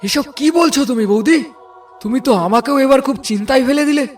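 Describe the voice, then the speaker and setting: Man as an earnest high-pitched village man. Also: fast. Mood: concerned. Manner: earnest, urging. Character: concerned young village man who takes charge. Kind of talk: cartoon voice acting. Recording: slightly distant, music behind.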